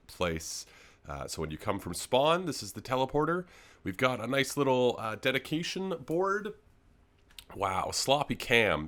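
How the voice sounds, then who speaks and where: Excitable man with a gravelly cold voice. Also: fairly low pitch. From Canada. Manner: enthusiastic, rambling, many ums and uhs. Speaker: gaming YouTuber giving a server tour. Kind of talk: casual gameplay commentary.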